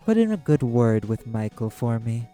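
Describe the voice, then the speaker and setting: Man voicing a proud, mellow ancient demon boss. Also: medium pitch, very animated, soft background music, natural voice. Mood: sly, bargaining. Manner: haughty, measured, drawling, theatrical. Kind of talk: scripted audio roleplay monologue.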